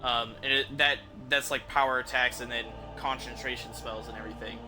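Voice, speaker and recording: A man hosts a livestream in a medium-pitched voice. He talks off the cuff to his viewers, rambling informally as he explains things. Music plays clearly behind him. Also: little pitch movement, brisk. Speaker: livestream host, gamer. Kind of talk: casual unscripted chat to stream viewers.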